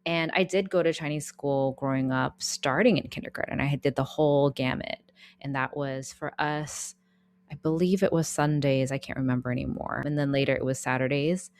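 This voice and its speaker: An American woman, a therapist co-hosting a podcast, talking in a measured, thoughtful way with frequent restarts. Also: rumbling low, fairly even intonation. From United States. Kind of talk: casual podcast conversation.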